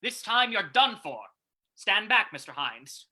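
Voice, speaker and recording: A man playing a blustering, gruff police commissioner in an old-time radio play. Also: high-pitched, very animated, unhurried, pushed and bright, full character voice. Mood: triumphant, commanding.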